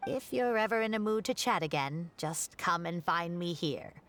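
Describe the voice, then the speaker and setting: A woman voicing a wise, kindly older professor in a video game, speaking in a measured, reflective way. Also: on the low side, animated, a bit bright. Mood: gentle, kindly. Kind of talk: scripted game dialogue.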